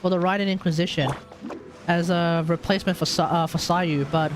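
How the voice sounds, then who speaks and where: A woman's voice: on the low side, fairly even intonation, a little fast. A woman doing casual, chatty live game commentary, thinking aloud as she plays. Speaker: game streamer. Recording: game music behind.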